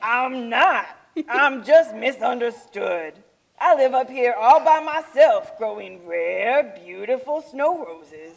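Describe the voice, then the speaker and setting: Woman as a slow, creaky-voiced gentle yeti. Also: on the high side, animated, heavily acted. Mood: gentle, wistful. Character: misunderstood, lonely, gentle male yeti living atop mountain. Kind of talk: children's puppet show acting.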